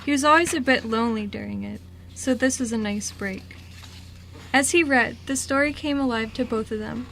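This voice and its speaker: A woman's voice: a little high, moderate pace, gravelly, fairly bright tone. A woman reading scripted story narration for an amateur radio drama. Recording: noisy amateur radio recording.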